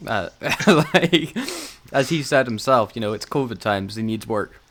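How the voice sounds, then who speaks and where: A man's voice: lowish voice, expressive, measured pace, fairly bright tone, slight background noise. Rambling, sweary man chatting casually. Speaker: podcast co-host. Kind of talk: casual podcast chat.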